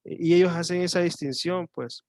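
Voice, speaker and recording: A male teacher from Mexico with a coastal Mexican Spanish accent, explaining a lesson slowly and thinking aloud with fillers and pauses. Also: sits high, darkish tone, dry recording. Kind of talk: online class lecture.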